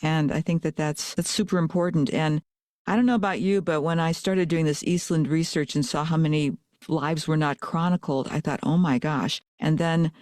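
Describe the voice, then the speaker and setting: Eager male podcast host on a call, higher voice, dry close sound. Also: steady tone, normal speed, darkish tone, no echo. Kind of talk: casual interview chat. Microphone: video call.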